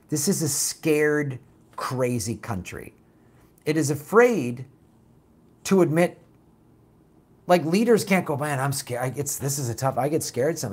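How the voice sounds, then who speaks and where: A raspy man hosting a political talk show, reading viewer comments and answering them bluntly in a casual, animated way. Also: mid-range voice. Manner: blunt, animated, mocks opponents with put-on quotes.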